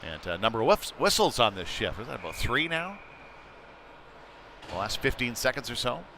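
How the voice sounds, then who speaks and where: A man's voice: medium pitch, big pitch swings, moderate pace, pushed and bright. Male hockey commentator over noisy rink sound. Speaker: play-by-play commentator. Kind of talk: live ice hockey commentary. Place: indoor ice rink. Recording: noisy rink ambience behind voice.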